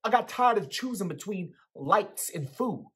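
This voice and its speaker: A man's voice: high-pitched, lively intonation, a little slow, fairly bright tone, breathy edge, exaggerated voice. A young man with an American accent playing a guarded, streetwise teenage boy in a dramatic book reading. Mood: defensive. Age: young adult. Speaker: actor reading a character's dialogue. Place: indoors in a small plain room. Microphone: video call, close to computer.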